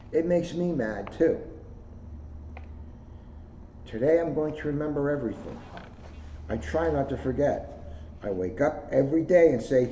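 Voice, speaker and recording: Male read-aloud storyteller, soft-edged mellow tone, recorded in a roomy space with muffled, noisy lo-fi sound. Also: medium pitch. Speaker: police officer reading a children's book aloud. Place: indoors, second floor of a borough hall. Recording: roomy echo, dull lo-fi sound, clear noise.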